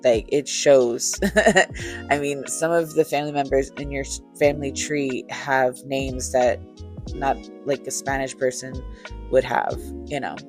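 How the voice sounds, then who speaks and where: Low-voiced woman chatting casually. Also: a little slow. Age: 30s. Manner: chatty and relaxed, with fillers and light laughs. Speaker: crafter and vlogger. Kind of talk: casual storytime chat while beading. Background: faint chill music.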